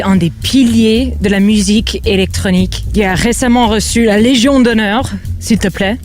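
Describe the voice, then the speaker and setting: A woman's voice: medium pitch, a little mellow. An upbeat woman from Canada who grew up speaking English, hosting her own podcast in French and mixing in English words. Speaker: podcast host. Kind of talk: scripted podcast intro.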